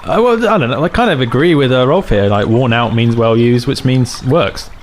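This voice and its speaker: A man's voice: mid-range voice, lively intonation, normal speed, slight background noise. A man playing a tabletop game, chatty and giggly. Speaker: player. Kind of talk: casual tabletop game play.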